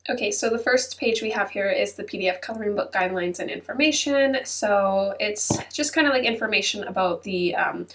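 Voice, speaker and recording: A congested woman with a stuffy nose talks casually as host of her channel, filling her chat with ums and hedges. Her voice sits at an ordinary middle pitch. She sounds set a little back from the microphone. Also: fairly quick, hardly any pauses, far from the mic, background noise. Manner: chatty, many ums and hedges. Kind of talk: casual chatty flip-through voice-over. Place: at her computer.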